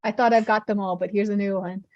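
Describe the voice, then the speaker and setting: A woman teaching about a language, explaining quickly and at length with fillers, in a guest interview. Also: mid-range voice, fairly even intonation, highs cut off.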